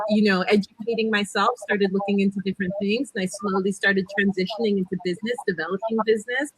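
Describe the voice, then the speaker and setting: A woman's voice: medium pitch, a bit bright, no room sound, low-quality recording. A woman in her thirties from California with an American accent, telling her career story on a video call panel, chatty and hesitant with many fillers. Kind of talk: casual panel talk.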